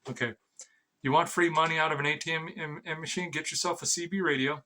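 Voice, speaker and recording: A man's voice: a little high, steady tone, fast talker, bright, forceful tone. A man giving a rambling, informal explainer as an amateur vlogger, blunt and sweary, often stammering over repeated words.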